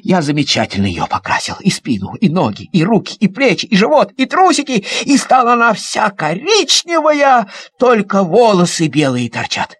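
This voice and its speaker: A man narrating a children's audio story as a young boy, in an animated, theatrical way with childlike enthusiasm. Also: sits high, normal speed, few pauses, husky. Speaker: audiobook performer.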